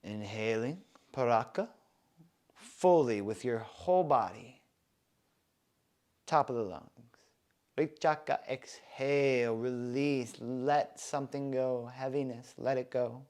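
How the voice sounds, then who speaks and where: A man guides a breathing meditation in an even, mid-range voice. He talks very slowly and leaves long gaps between words. His tone stays soft and soothing throughout. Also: mid-range voice. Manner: slow, soft, calm and soothing guidance. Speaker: breathwork instructor.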